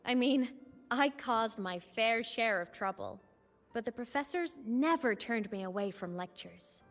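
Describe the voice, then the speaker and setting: A woman voicing a young ghost girl in a video game, a former student who speaks gently, with a wistful and teasing manner. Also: fairly high pitch, animated, a little slow, soft background music.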